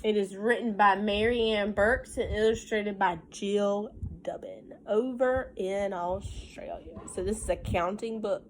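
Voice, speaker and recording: A woman reading a picture book aloud to young children like a teacher, in an expressive sing-song way with simple questions and asides. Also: mid-range voice, a little slow, few pauses, a little hiss or hum, low-quality recording.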